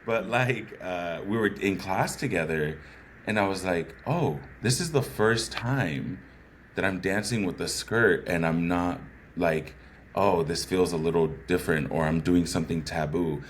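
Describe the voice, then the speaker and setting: A man with a bassy voice talking as a guest, sharing personal stories earnestly and often checking in with "right?". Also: a little slow, slight background noise. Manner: earnest, thoughtful, frequent "right?" asides. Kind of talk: reflective conversational storytelling.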